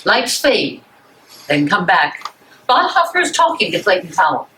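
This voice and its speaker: A woman's voice: on the low side, very animated, measured pace, pushed and bright, a little hiss or hum. A woman narrating stage directions from a script at a live play reading. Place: public library meeting room. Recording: echoey room, live reading.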